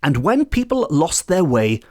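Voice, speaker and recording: A man's voice: fairly high pitch, unhurried. A man from Wales who speaks Welsh, hosting a folklore podcast from home and telling the tale with playful asides. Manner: lively, animated storytelling with playful asides. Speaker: podcast host. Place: home in a residential area.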